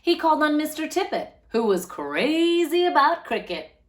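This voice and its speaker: A woman's voice: high voice, very animated, normal speed. A woman hosting a read-aloud for children, narrating expressively with a lilting delivery.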